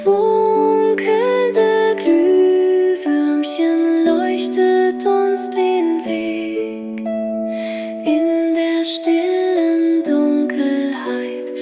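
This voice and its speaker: Woman singing a very high, slow lullaby. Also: steady tone. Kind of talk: slow sung lullaby for children. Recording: loud musical backing. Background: lullaby music.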